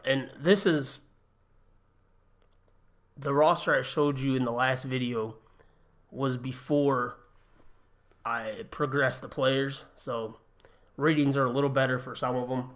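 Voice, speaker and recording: A young man with a slightly raspy voice from a cold, chatting casually through a gaming livestream from his apartment, thinking aloud with ums and uhs. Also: medium pitch, steady tone, a little slow, long pauses, a bit bright. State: getting over a cold, a little raspy. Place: home apartment.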